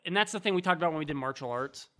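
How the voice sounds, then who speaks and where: A man's voice: a little high, expressive, racing, a bit bright. A man co-hosting a film podcast in loose, casual conversation. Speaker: podcast co-host.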